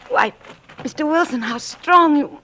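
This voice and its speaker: Bright, animated, coy flirt. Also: female voice, high voice, unhurried, exaggerated voice. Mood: seductive. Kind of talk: old-time radio drama acting.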